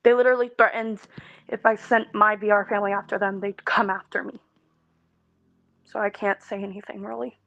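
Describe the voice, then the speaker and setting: A woman in her twenties venting in a personal video, talking in a halting, weary way with many pauses and restarts. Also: medium pitch, level tone, gravelly, fairly bright tone, no echo, muffled recording. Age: 20s. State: emotionally tired. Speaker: content creator.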